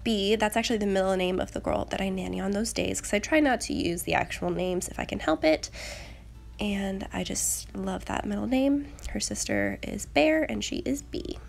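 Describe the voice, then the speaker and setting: A young woman with a medium-pitched voice full of vocal fry and a slight rasp left over from a cold. She narrates casually in a chatty craft voice-over style, poking a little fun at herself. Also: very animated, moderate pace. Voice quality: slightly raspy. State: getting over a rough cold. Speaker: planner channel host.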